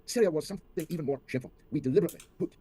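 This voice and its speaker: A man's voice: a little high, rapid-fire, mellow, slightly rough. An older man giving political commentary as an interview guest, speaking emphatically with rhetorical lists and questions.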